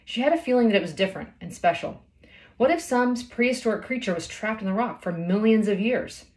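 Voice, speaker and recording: A woman's voice: mid-range voice, lively intonation, a little fast, a little mellow. A woman in her thirties reading a children's novel aloud as a storyteller, recorded indoors at home. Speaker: storybook reader. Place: small indoor room at home.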